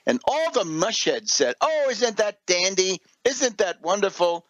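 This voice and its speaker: A man's voice: high-pitched, very animated, measured pace, a bit bright, no room sound. An American man hosting a radio commentary, reading out and remarking on material in an emphatic, opinionated and sardonic manner. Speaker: radio show host and political commentator. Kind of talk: political commentary, partly reading articles aloud with asides.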